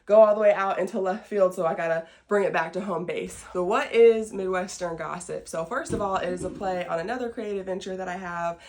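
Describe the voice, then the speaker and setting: A woman's voice: lowish voice, brisk, hardly any pauses, darkish tone. A woman in her late twenties with an American accent, hosting a podcast and chatting casually to the audience in a folksy, self-deprecating way. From small-town Southern Illinois, United States. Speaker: podcast host. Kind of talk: casual chat to camera, introducing a show.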